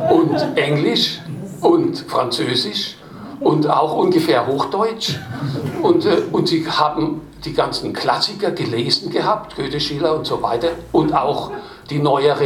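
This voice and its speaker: A man from Baden reading his own stories to a live audience, chatting between readings with self-mocking, chuckling humor. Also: fairly high pitch. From Baden, Germany. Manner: self-deprecating, chuckling storyteller. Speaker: author reading from his book. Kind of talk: live book reading with anecdotes. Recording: distant mic in an echoey room.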